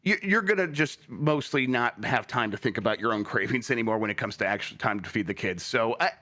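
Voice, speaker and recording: A man's voice: medium pitch, expressive, a little fast, bright, forceful tone. A man chatting casually on a podcast in a blunt, rambling, sweary way. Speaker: podcast panelist. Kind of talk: casual chat.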